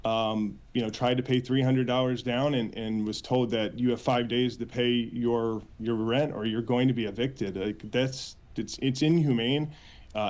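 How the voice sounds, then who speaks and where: A man with an American accent answering as a union spokesman in a news interview, earnest, with halting phrasing and repeated words. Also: mid-range voice, a little mellow. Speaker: union official, interview guest.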